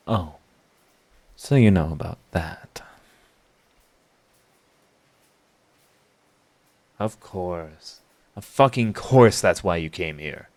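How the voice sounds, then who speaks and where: A man plays a sardonic supervillain with a low-pitched voice in an intimate audio drama. He is theatrical and sarcastic, yet his halting delivery betrays a secret devotion to his rival. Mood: cornered, defensive. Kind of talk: intimate scripted audio roleplay monologue.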